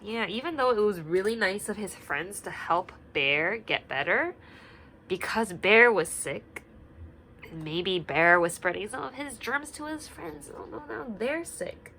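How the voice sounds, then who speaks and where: A young woman teacher reading a picture book aloud and asking the children gentle questions, sometimes dropping to a whisper. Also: medium pitch, expressive, unhurried, slight background noise. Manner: drops to a whisper at quiet parts.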